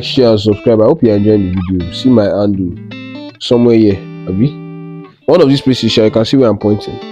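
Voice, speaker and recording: A young Nigerian man chats casually to camera in a travel vlog. His voice is on the low side and mellow, and he slips Pidgin slang into his storytelling. Light guitar music can play behind him. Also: lo-fi sound. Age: young adult. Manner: animated storytelling with Pidgin slang and self-quoting. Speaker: vlogger. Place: living room, indoors.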